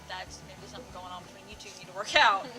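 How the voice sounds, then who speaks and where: A woman's voice: medium pitch, little pitch movement, fast, pushed and bright, faint music behind. A woman in her twenties giving a casual, opinionated rant in a blunt, emphatic way, seated indoors a few feet from the camera. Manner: blunt, emphatic, frequent swearing. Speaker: host of a parenting discussion vlog. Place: indoor room, seated in a group.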